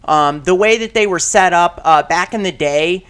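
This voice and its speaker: Raspy, rambling gamer guy, a bit high. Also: moderate pace. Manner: rambling, many fillers and restarts, sudden loud outbursts at the game. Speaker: gaming channel host. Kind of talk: casual commentary while playing a shooter game.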